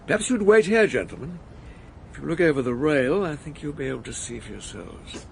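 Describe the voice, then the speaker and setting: A man acting a decent, brisk English military general in a radio drama, speaking in a clipped, formal, courteous way. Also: fairly high pitch, expressive, vocal fry, darkish tone, slight background noise. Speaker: deputy governor.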